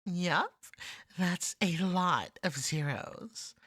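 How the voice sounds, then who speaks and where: A woman playing a clingy, jealous cat-person yandere in a scripted ASMR roleplay, husky, wry and wheedling. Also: on the low side, very slow, a bit bright, no echo, clean studio sound, full character voice. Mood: devoted, wheedling.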